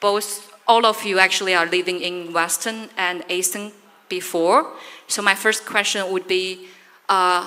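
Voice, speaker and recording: A woman's voice: on the low side, pushed and bright. A woman moderating a panel, friendly, with loose phrasing full of fillers. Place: large conference hall. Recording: reverberant conference hall.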